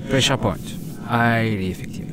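A man's voice: mid-range voice, moderate pace, very noisy, lightly acted. A man playing a courteous, cryptic assassin in a sci-fi audio drama, speaking in a formal, understated way. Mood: calm, courteous. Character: calm, deadly male assassin, courteous and cryptic. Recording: loud spaceship ambience behind voice.

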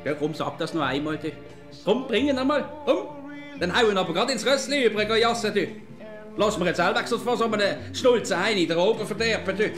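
A grumbling Swiss German husband, played by a man in an old comic radio play, middle-aged and sarcastic, repeating himself in a high voice. Music plays clearly behind him. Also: animated, a little fast. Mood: mocking, annoyed. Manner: blustering, repetitive, sarcastic.

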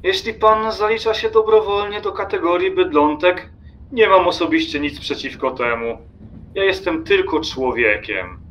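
An actor playing an irritable, disdainful lone train traveller in a radio play, haughty and sardonic in manner. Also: male voice, sits high, animated, moderate pace, fairly bright tone. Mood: cold, irritated. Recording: faint ambient noise behind.

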